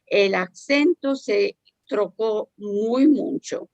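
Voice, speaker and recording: Slow, gravelly woman asking a question. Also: medium pitch, darkish tone, dry recording, dull audio. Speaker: audience member asking a question. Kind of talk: online discussion. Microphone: video call.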